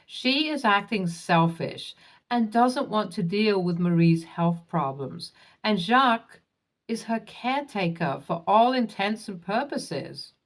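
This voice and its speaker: Steady woman reading stories aloud. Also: fairly low pitch. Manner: even, steady reading that spells out acronyms letter by letter. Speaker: narrator. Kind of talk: scripted narration of online stories.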